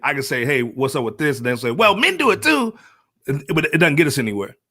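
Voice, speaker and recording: A man's voice: a little high, big pitch swings, quick pace, pushed and bright. An American man debating casually on a podcast panel, probing and insistent about his point.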